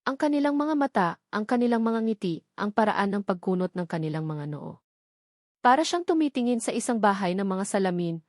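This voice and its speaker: A woman's voice: mid-range voice, darkish tone, dry recording. Filipino woman narrating drama, dry close sound. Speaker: story narrator. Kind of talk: scripted dramatic storytelling narration.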